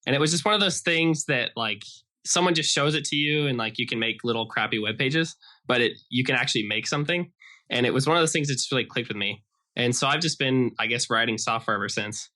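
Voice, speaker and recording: Bright-voiced American man, casual explainer. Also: medium pitch, fast. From Idaho, United States. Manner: casual, explanatory, says "like" often. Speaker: interview guest, software developer. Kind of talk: conversational podcast interview.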